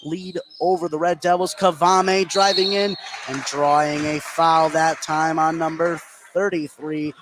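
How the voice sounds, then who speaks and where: A man doing live play-by-play basketball commentary for radio, getting excitable on big plays. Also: fairly high pitch, a little slow, a crowd in the background. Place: indoor basketball gym. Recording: noisy live gym broadcast. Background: loud game ambience.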